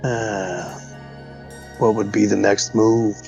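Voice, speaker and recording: A man's voice: on the low side, flat delivery, takes it slowly, gravelly, a little mellow, dull audio, soft background music. A man taking part in a tabletop role-playing game, talking casually as a player.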